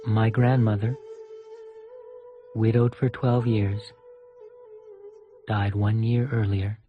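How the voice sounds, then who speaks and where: A man's voice: on the low side, little pitch movement, slow. A man with a Canadian accent, reading a reflective scripted narration. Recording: dry, muffled audio with music behind. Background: music.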